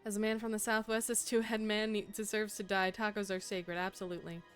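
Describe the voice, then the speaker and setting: A woman's voice: mid-range voice, flat delivery, a little fast, clean tone, soft background music. A young adult woman streaming a game, chatting casually in a playful, teasing way and laughing often.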